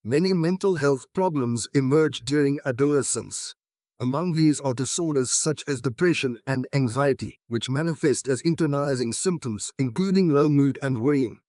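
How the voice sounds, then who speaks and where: A man's voice: mid-range voice, moderate pace, a little mellow, no room sound. Man reading academic text, dry sound. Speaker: exam practice reader. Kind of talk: scripted read-aloud of academic passages.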